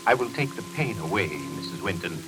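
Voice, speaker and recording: An actor playing an insane, menacing surgeon in a theatrical, gloating 1940s radio thriller style. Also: male voice, low-pitched, sing-song, a little fast, music in the background. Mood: soothing menace. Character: insane, menacing male surgeon who seized a hospital. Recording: hissy, noisy 1940s radio recording.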